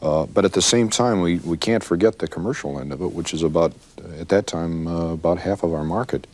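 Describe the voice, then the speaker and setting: An American man speaking as a designer in a panel discussion, explaining in an easygoing, halting way. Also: deep voice. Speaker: vehicle designer. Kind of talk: panel discussion about product development.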